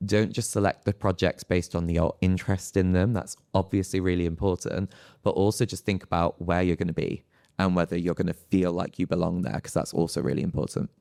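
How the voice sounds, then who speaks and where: Creaky, low-pitched man, flat delivery. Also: fairly quick. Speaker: panelist, scientist. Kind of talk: spoken panel advice at a live event.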